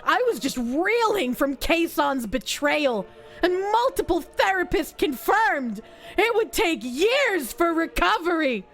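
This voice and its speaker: Girl doing a bitter obsessed guy ranting, super theatrical, high pitched, music faintly behind. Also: sing-song, takes it slowly, heavily acted. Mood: bitter, wounded. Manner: theatrical, anguished, shouting outbursts. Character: ranting, self-pitying, obsessed man blaming everyone, melodramatic villain. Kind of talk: dramatic reading of social media posts.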